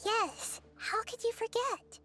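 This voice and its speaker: A woman playing a kind, wise, childlike nature goddess in a video game, speaking in a thoughtful, measured, articulate way. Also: very high voice. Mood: attentive, thoughtful. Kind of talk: video game dialogue acting. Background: soft music.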